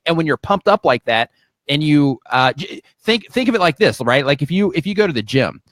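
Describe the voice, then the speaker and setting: A man co-hosting a live show, chatting casually in a halting, rambling way with repeated words. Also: on the high side, big pitch swings, a bit bright, dry recording. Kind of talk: casual, rambling advice chat.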